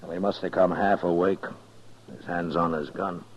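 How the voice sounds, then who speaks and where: Old west us marshal, real deep american voice, steady and bossy, few words, muffled 50s radio drama. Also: male voice, moderate pace, slightly rough. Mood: matter-of-fact. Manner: plain-spoken, commanding, terse. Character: steady, authoritative frontier US marshal. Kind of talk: 1950s radio western acting. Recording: dull, noisy 1950s radio recording.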